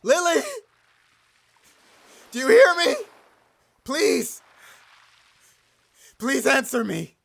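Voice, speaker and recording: An actor voicing a defeated blind pirate overlord in an audio drama, stammering and pleading in anguish. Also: male voice, extremely high pitch, very animated, extremely slow, vocal fry, pauses often, a bit bright, a little hiss or hum, heavily acted. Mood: frantic calling. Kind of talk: dramatic audio roleplay acting.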